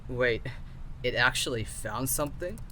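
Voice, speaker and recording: Guy acting as a cautious curious researcher, audio roleplay, mid pitched, kinda hesitant, some background ambience. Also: animated, unhurried, sharp tone, a little hiss or hum. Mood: focused, doubtful. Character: curious, cautious male researcher and code developer, encouraging.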